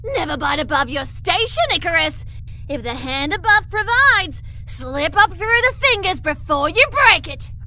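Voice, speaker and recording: Woman playing a very high-pitched, blunt young convict. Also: very animated, moderate pace, bright, forceful tone, heavily acted. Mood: dry, blunt. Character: blunt, curious young female space convict. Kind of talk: audio drama acting.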